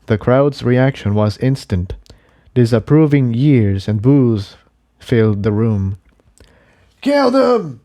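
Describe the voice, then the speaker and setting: Mellow male story narrator, unhurried. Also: medium pitch, no echo, studio quality. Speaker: narrator, fan fiction reader.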